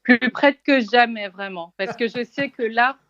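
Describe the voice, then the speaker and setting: A woman's voice: fairly high pitch, measured pace, a bit bright, dry recording, lo-fi sound. A woman in her twenties from French Guiana, a guest on an interview podcast, speaking in an articulate, earnest conversational way with occasional fillers. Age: 20s.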